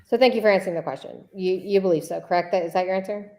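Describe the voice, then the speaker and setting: A woman reading a deposition transcript as a persistent attorney who needles a witness and repeats questions deliberately. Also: medium pitch, steady tone, a little mellow, lightly acted. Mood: insistent, exasperated. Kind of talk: read-aloud deposition transcript.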